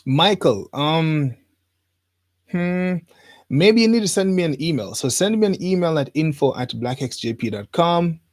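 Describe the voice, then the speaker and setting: A man from Jamaica hosting a livestream, chatting informally to viewers in a rambling way and pausing as he goes. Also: fairly high pitch, expressive, no echo, clean studio sound. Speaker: livestream host. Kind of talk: casual livestream chat to viewers. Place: studio.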